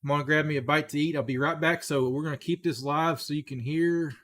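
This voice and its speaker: A man in his forties with a tiring, slightly hoarse voice, casually hosting a live sports stream as a folksy, excitable fan. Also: on the high side, fast. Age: 40s. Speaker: livestream host.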